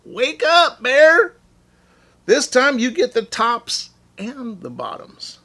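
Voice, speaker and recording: A clever male hare farmer from a children's storybook, acted out by a man reading aloud. He pitches his voice high and bright, with a cheerful, slyly persuasive delivery. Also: big pitch swings, slow pace, heavily acted. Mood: hearty calling out. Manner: calls out loudly and brightly.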